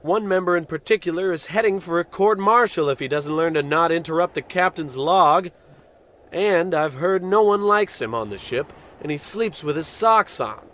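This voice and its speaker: Man acting a pompous, higher-voiced spaceship captain. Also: normal speed, fairly bright tone, natural voice. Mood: bossy, indignant. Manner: pompous, commanding, self-important. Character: pompous, dim, blustering male spaceship captain. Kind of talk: old-time radio comedy acting.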